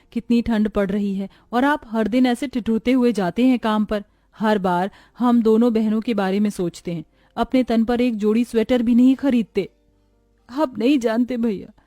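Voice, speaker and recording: A woman's voice: fairly high pitch, warm tone, no room sound, studio quality, close to an everyday voice. A woman voicing tearful young sisters. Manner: pleading, emotional. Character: two poor young sisters, tender and tearful. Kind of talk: cartoon voice acting.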